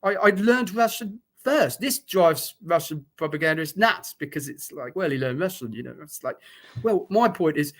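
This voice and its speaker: Blunt man, slightly high voice. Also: lively intonation, normal speed, fairly bright tone. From Britain. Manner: blunt, frank talk with many fillers and restarts. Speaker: guest, former soldier. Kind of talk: conversational interview account.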